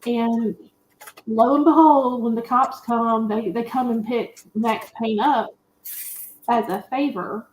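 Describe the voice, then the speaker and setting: Woman, fairly high voice, roomy echo, casual movie-show panelist, candid and opinionated. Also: steady tone, slow pace, a little mellow, a little hiss or hum. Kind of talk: casual chat, informal film recap. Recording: roomy, echoey sound.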